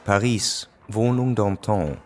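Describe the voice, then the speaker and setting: A man's voice: fairly low pitch, slow pace, a little mellow, a little hiss or hum. A man giving a scripted narration for a historical radio drama.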